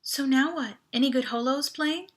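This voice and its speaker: A woman voicing an awkward, earnest, lovestruck teenage boy in a sci-fi storybook, halting and self-conscious. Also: high voice, voice pushed up, close to an everyday voice. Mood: awkward, eager.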